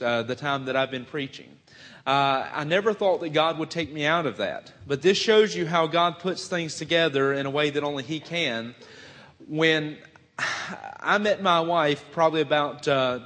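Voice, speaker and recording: A man's voice: fairly high pitch, fairly bright tone. A man in his twenties with a Southern US accent, a missionary preacher introducing himself before his sermon in a folksy way with many "uh" fillers. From Virginia, US. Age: 20s. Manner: folksy, frequent "uh" fillers, self-deprecating asides. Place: church sanctuary. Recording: long hall reverb.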